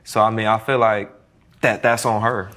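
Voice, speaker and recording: A man speaking as a defendant in court, defending himself haltingly and often restarting his sentences. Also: on the low side, flat delivery, a bit bright. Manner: defensive, halting with repeated starts. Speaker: defendant, music artist.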